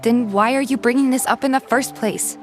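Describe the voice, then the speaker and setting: A woman voicing a shy, soft-hearted young heroine in a game fan dub, earnest in both narration and dialogue. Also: fairly high pitch, fairly even intonation, a little fast, faint music behind, close to an everyday voice.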